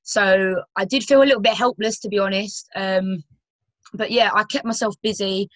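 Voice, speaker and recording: A young woman from Romford with a London accent talks easily as a podcast guest. She rambles a little, with plenty of ums and self-corrections, at a middling pitch. The recording is dry and close. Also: fairly even intonation, moderate pace, fairly bright tone, no room sound. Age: 20s. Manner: chatty, with many fillers and restarts. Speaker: guest footballer and personal trainer. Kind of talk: casual podcast interview.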